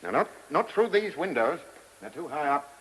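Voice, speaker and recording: Bossy detective chief in an old radio play, animated, bit husky, barks orders and questions. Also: male voice, fairly high pitch, heavily acted, low-quality recording. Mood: brisk, probing. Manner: brisk, questioning, authoritative. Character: brusque, commanding male police chief inspector. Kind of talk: radio drama acting. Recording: hissy old radio recording.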